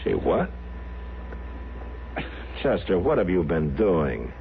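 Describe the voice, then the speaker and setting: A man's voice: low voice, sing-song, takes it slowly, gravelly, full character voice. A man playing a stern, weary frontier marshal in an old radio western, American and terse. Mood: exasperated. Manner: terse, firm, commanding. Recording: muffled, noisy old radio broadcast.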